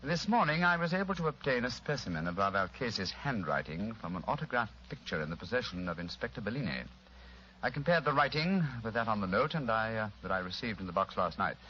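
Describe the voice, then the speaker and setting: An old-time radio gentleman detective, acted by a man, composed, courteous and theatrical. The voice is on the low side and forceful, moving briskly through formal, amused phrases. The recording is a little lo-fi. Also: sing-song, slight background noise. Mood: amused, unruffled. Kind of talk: 1940s-style radio drama acting.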